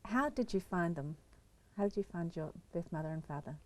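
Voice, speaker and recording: A woman interviews a guest for television, keeping her turns to short questions and quick acknowledgements. Her voice sits on the low side for a woman. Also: expressive, darkish tone, low-quality recording. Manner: brief prompts and short acknowledgements.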